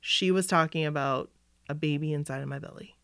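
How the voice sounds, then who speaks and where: A woman's voice: deep voice, fairly quick, smooth, no room sound, studio quality. A woman co-hosting a casual podcast chat, telling stories with lots of fillers, a little sniffly from a cold. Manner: chatty, animated storyteller with many fillers. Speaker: podcast co-host. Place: basement room.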